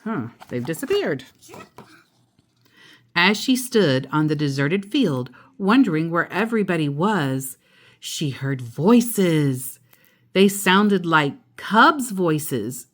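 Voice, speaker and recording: A woman reading a picture book aloud to a child, adding simple explanatory asides as she goes. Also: lowish voice.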